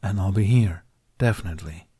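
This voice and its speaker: A man's voice: sits low, fairly quick, mellow, slightly rough. A man voicing a male dragon companion, smug, teasing and protective, with a dry, confident delivery, in a fantasy audiobook. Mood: dry, assured.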